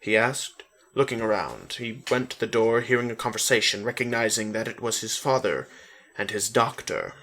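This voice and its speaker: A man's voice: fairly low pitch, lively intonation, moderate pace, fairly bright tone. A man giving a dramatic reading of fiction as the narrator of a story. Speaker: story reader.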